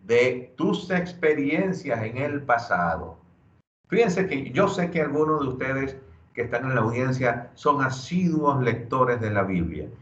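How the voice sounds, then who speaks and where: A man giving a motivational workshop talk, earnest and preacher-like, slowing down to stress key phrases. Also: mid-range voice, animated, a bit bright. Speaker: workshop speaker. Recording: dull lo-fi audio in an echoey room.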